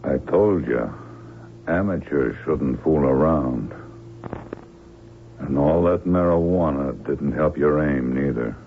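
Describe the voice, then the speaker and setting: Very deep, gravelly tough gunman. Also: takes it slowly, long pauses, heavily acted. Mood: coolly cynical. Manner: folksy, blunt, slangy tough-guy drawling grammar. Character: tough, cynical hired gunman taking charge of a hijacking. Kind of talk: old-time radio drama acting. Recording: tinny band-limited old radio recording, faint noise.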